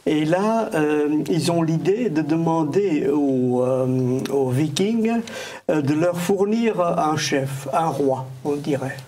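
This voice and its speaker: A man's voice: fairly high pitch, takes it slowly, dark tone. A man who is not a native French speaker, explaining history in a studio discussion, hesitating often with fillers and restarts. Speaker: guest historian.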